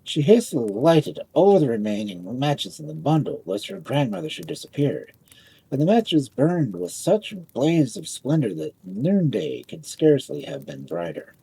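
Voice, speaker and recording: A man tells a fairy tale in a very husky, breathy voice with a soft dark tone. His reading is dramatic and expressive, swinging widely in pitch and drawing out phrases for effect. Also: normal speed, rough recording. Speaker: storyteller.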